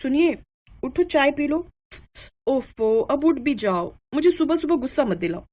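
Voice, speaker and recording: A woman voicing a frustrated, sharp-tongued young new bride in a story, complaining and scolding. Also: sits high, animated, unhurried, slight background noise, voice pushed up. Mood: annoyed.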